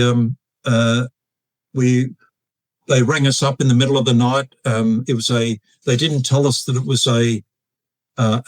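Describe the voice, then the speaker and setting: A man in his sixties with an Australian accent, a guest telling long stories in a casual interview, halting often with fillers and restarts. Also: medium pitch, no room sound, low-quality recording. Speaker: guest, retired air force officer.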